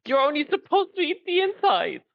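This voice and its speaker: A man putting on a shrill outraged pony princess. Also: sing-song, fairly quick, gravelly, no echo, muffled recording, exaggerated voice. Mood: scolding. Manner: shrieking, indignant.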